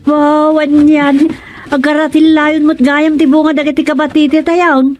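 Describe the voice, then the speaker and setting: An actress playing a proud, easily amazed village gardener in a radio comedy drama. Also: female voice, high-pitched, flat delivery, measured pace, a little mellow, faint music behind.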